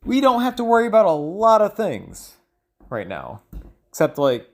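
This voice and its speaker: A man's voice: sits high, wildly swooping pitch, moderate pace, gravelly. A man thinking aloud in a loose solo monologue, hesitant and often trailing off as he searches for words. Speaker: solo audio log creator.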